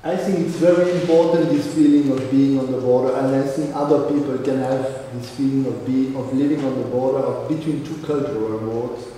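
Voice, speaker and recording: A man whose first language is French, speaking haltingly with many restarts as a panelist at a seminar. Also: mid-range voice, steady tone, mellow, recorded from a distance, slight background noise. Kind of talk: discussion answer. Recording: echoey hall, recorded from afar.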